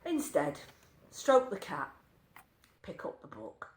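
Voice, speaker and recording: Female story reader, wry and highly animated, extremely unhurried, echoing room. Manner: wry, animated, deliberate pauses between short phrases. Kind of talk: expressive live story reading. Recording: roomy, echoing sound.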